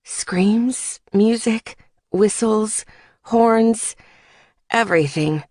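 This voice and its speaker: A woman's voice: on the high side, very slow, darkish tone, dry recording, clean studio sound. A woman narrating first-person fiction as a scripted audiobook.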